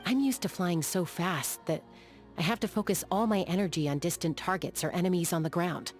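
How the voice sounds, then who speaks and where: A woman playing a calm, battle-hardened young sky gunslinger in a video game, measured, thoughtful and matter-of-fact, with a dry edge. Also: on the low side, faint music behind, natural voice. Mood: relaxed, lightly wry. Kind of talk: video game character voice lines.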